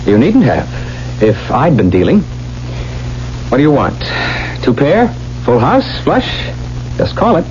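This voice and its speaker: Radio drama lead: headstrong, desperate young gambler in love. Theatrical, commanding, hugely animated delivery on a dull old recording. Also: male voice, medium pitch, a little slow, long pauses, noisy background. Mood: confident, commanding. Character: desperate, headstrong young male lover turned card-playing gambler. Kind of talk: 1970s radio drama acting. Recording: dull 1970s radio recording, music behind.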